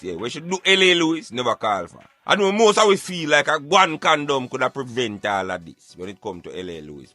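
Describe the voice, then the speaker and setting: A man's voice: fairly high pitch, sharp tone, dry recording. A man with a Jamaican accent hosting a radio show, giving emphatic, colloquial satirical commentary in a conversational way. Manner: emphatic, colloquial, addressing listener as brethren.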